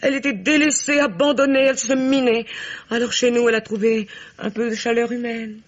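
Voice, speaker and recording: A woman's voice: a little high, fairly even intonation, brisk, close to an everyday voice. A woman playing an outraged, theatrical, talkative American wife in a vintage French radio play, gushing and indignant. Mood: confiding, dramatic. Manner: indignant, melodramatic, gushing, interrupting. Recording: dull vintage radio recording.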